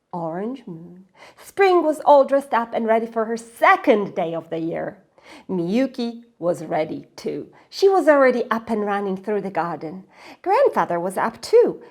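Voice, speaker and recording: A woman reading a children's story aloud in a dramatic, expressive way, sometimes dropping to hushed, breathy phrases. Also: medium pitch, a little slow.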